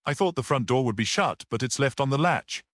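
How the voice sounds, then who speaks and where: A man voicing a brisk, confident police detective in a storybook reading, matter-of-fact and assertive. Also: medium pitch, expressive, a bit bright, no echo, studio quality, voice pushed up, close to an everyday voice. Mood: puzzled, sharp.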